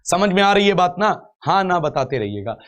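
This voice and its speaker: A man's voice: high-pitched, sing-song, normal speed, fairly bright tone. A man teaching an exam class step by step, repeating phrases and asking rhetorical questions. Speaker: reasoning teacher for exam coaching. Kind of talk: live online lecture, explaining step by step.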